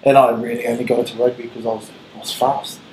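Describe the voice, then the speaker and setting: A man in his thirties with a New Zealand accent, a rugby head coach giving earnest, measured answers in an interview. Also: medium pitch, flat delivery, a little mellow. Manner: earnest, measured, with filler ums. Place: small room with gray wall, seated at table. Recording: roomy echo, faint room hiss.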